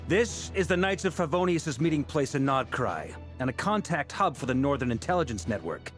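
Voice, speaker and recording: A seasoned, middle-aged knight commander from a video game, self-assured and wry. He speaks with a commanding ease, his pitch moving lively. Faint game music plays under his lines. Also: brisk.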